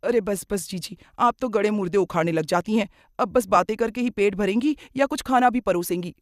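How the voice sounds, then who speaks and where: A woman's voice: mid-range voice, brisk, no echo, clean studio sound, lightly acted. A woman playing a gossipy, effusive middle-aged aunt who chatters and exclaims, in a moral cartoon story. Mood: teasing.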